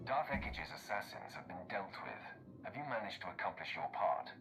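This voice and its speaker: Stern, echoing Sith warrior. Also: male voice, sits low, big pitch swings, normal speed, fairly bright tone, soft background music, exaggerated voice. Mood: cold, scornful. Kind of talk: cinematic video game voice acting.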